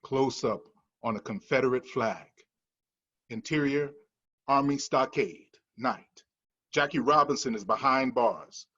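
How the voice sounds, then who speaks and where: A man's voice: medium pitch, measured pace, bright, forceful tone, breathy edge, highs cut off. A man reading the stage directions of a screenplay at a table read in a matter-of-fact manner.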